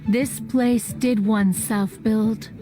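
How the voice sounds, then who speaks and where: An ancient, reclusive female immortal, proud and stern. The voice is fairly high and unhurried, pronouncing formal, archaic lines with imperious weight over game music. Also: steady tone, mellow. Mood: haughty, proud. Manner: formal, imperious, archaic phrasing, refers to self as "one". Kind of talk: stately video game character acting.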